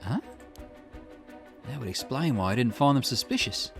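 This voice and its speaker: An earnest, grieving mother played by a man from a visual novel. The voice is hesitant and anxious, mid-pitched with animated movement, over faint music. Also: moderate pace, gravelly. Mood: dawning realisation.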